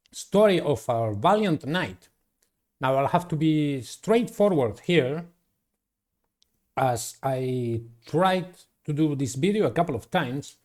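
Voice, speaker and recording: A man hosting a gaming channel, giving halting, theatrical playthrough commentary and narration with formal wording. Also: medium pitch, darkish tone. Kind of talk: playthrough commentary and read-aloud visual novel narration.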